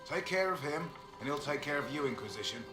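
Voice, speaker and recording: An actor playing a gruff older horsemaster in a fantasy game, blunt, plain-spoken and folksy. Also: male voice, a little high, lively intonation, a little fast, lightly acted. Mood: blunt, conciliatory. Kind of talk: video game dialogue acting. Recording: echoey, with music behind.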